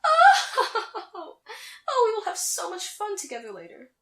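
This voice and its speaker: Haughty, high-voiced anime sorceress, echoey. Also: female voice, sing-song, a little fast. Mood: smug, taunting. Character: vain, haughty young female sorceress rival from an anime. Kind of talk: comedic anime fan audio drama acting.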